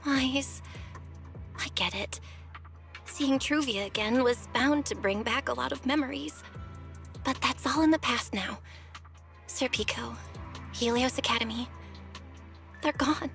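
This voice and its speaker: A woman playing a caring, slightly bossy young woman in a video game, speaking in an expressive, conversational way. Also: high-pitched, unhurried, vocal fry, pauses often, soft background music, full character voice. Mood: gentle, reassuring.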